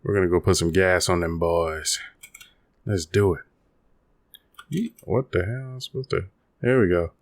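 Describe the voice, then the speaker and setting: A man giving casual live gameplay commentary, playful and full of excitable exclamations to his teammates. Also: deep voice, measured pace, pauses often, dry recording, studio quality. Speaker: gaming video host.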